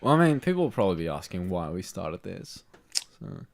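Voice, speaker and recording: Young man from chile, very low deep voice, jokey podcast banter. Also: sing-song, a little fast, creaky. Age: young adult. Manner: joking, mock-grand. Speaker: podcast co-host. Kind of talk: casual chat.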